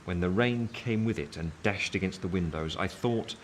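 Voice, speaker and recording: Deep guy, an actor, narrating as a solemn gentleman, measured literary radio play, faint hiss. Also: close to an everyday voice. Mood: brooding, tense. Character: grown gentleman recalling his past, solemn and anxious.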